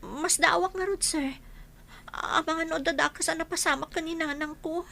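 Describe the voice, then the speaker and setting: A woman playing a young, excitable housemaid and devoted daughter in an Ilocano radio drama, respectful, anxious and full of questioning exclamations. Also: very high voice, normal speed, creaky, heavily acted. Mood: flustered.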